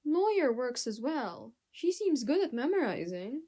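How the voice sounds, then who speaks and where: A stern, controlling mother with a fairly high voice, acted by a woman. She speaks in a practical, disapproving way, as in an animated family drama. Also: measured pace, heavily acted. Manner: stern, admonishing. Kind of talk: scripted animated drama dialogue.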